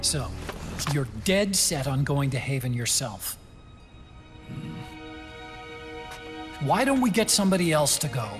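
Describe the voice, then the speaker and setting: An earnest scientist in a video game, in a fairly high male voice. He explains things thoughtfully, like a concerned friend, over loud music. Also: very animated, moderate pace. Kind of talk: video game cutscene acting.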